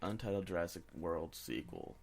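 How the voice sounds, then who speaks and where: A man's voice: low voice, lively intonation, moderate pace, vocal fry. A man co-hosting a podcast, chatting casually about pop culture and giving his opinions freely. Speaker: podcast co-host.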